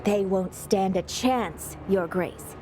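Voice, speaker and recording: Actress as slow, cold, haughty female reaper. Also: medium pitch, lightly acted. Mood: obedient, confident. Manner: formal, measured, threatening. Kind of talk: dramatic fantasy game acting. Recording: loud music under the voice.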